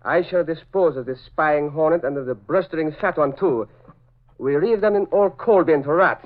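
An actor playing a cold, sinister spy in a 1940s radio drama, with a Japanese accent and formal, broken English. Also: male voice, a little high, normal speed, lightly acted. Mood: cold menace. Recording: muffled, noisy old radio recording.

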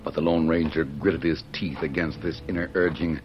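An actor as the solemn, suspenseful narrator of an old-time radio western adventure. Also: male voice, a bass voice, steady tone, moderate pace. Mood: tense. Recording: muffled old radio recording. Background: dramatic music.

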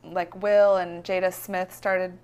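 A woman's voice: fairly low pitch, flat delivery, slow, darkish tone, clean tone. A woman from California talking casually about her life and art in an interview, rambling with many fillers and restarts. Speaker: visionary artist guest. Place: her home.

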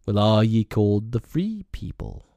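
A man as a gentle, dangerous panther. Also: fairly low pitch, very animated, normal speed, creaky, no room sound. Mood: cool. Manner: gentle, soft-spoken. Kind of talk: storybook reading.

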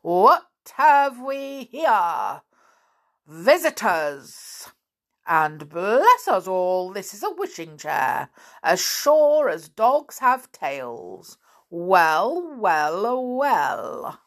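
A horrid little old-fashioned storybook wizard, as a woman reader puts him on, gloating and sly. He booms out slow, drawn-out exclamations, theatrically savouring every word. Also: very animated, fairly bright tone, heavily acted.

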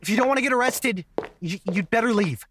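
A man's voice: high voice, animated, quick pace, a bit bright, a little hiss or hum. A woman voicing an anxious young man who stutters as he finally stands up to his controlling partner. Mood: shaky defiant. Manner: stuttering, shaken, defiant. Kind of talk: audio drama acting.

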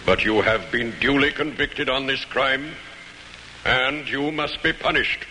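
Stern, forceful radio drama judge. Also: male voice, medium pitch. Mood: solemn, stern. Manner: formal, measured, pronouncing. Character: stern but merciful formal courtroom judge. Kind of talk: old-time American radio drama acting. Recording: noisy vintage radio drama recording.

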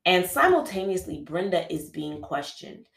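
A woman's voice: on the low side, sing-song, moderate pace, vocal fry. A woman podcast host with a cold, recounting a true-crime case in a casual, conversational way, full of fillers and restarts. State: has a cold, sniffling. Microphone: podcast microphone. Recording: roomy echo.

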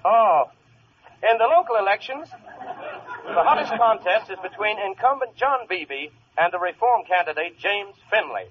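A man's voice: sits high, lively intonation, a little slow. A man playing a formal newscaster in the style of 1940s radio announcing. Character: formal male radio newscaster reading election returns. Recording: muffled old radio broadcast, faint noise.

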